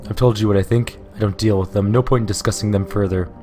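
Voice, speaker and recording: A hard-nosed female slaver snaps out blunt, contemptuous answers in a hoarse, low voice, as a man reads her lines aloud. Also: fairly even intonation, fast talker, dark tone, faint music behind, close to an everyday voice. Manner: curt, contemptuous. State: hoarse from illness.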